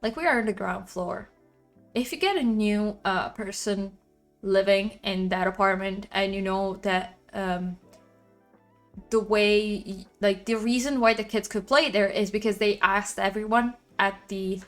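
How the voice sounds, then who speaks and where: A woman with a loud voice chatting casually on a livestream from her apartment, rambling and venting about her neighbors. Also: medium pitch, steady tone, measured pace, soft background music. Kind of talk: casual chat.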